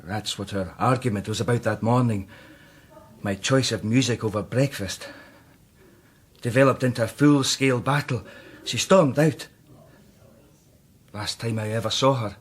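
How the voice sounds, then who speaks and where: Radio drama role: actor as bitter, devout Scottish composer, husky mid-pitched male voice, terse and intense. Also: normal speed, long pauses, lightly acted. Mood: flat, resentful. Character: brooding, guilt-ridden struggling composer, bitter and devout.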